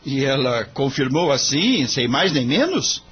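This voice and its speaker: A young Brazilian actor playing an earnest, principled lawyer in an audio drama, speaking formally and questioningly. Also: male voice, medium pitch, sing-song, measured pace, breathy edge, dull audio, faint music behind, full character voice. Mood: earnest, objecting. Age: young adult.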